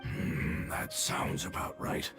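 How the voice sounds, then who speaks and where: A man playing an ancient, dying guardian creature in a video game, solemn and devoted, with a gravelly voice. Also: slow pace, music in the background, close to an everyday voice. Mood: solemn, reverent. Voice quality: very deep, gravelly, creaky.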